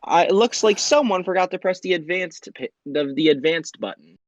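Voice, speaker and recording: A man's voice: high voice, expressive, moderate pace, no echo, highs cut off, faint music behind. A young man hosting a fan-made quiz show, reading out questions and answer choices in an upbeat quizmaster manner.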